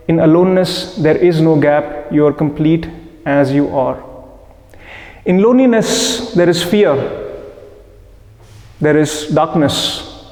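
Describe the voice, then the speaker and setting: A man guiding a group meditation, speaking deliberately in short phrases with pauses between them. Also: on the high side, dark tone. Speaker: meditation guide. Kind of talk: guided meditation talk to a live group. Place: indoor room with a seated group. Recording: echoey hall with long reverb.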